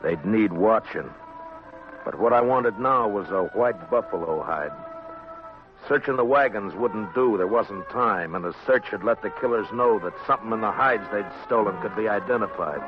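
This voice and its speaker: An actor playing a world-weary, commanding marshal with a terse, measured manner in a radio western. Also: male voice, on the low side, lightly acted. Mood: grim, narrating. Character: stern, world-weary male frontier marshal, calmly commanding. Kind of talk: 1950s radio western acting and narration. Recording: muffled 1950s radio recording with music.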